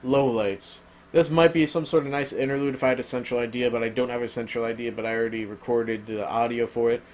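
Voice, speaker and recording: A man's voice: mid-range voice, steady tone, fast, a little mellow, slight background noise. Rambling man losing his voice. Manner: rambling, filler-heavy, opinionated. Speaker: album reviewer. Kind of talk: casual spoken review.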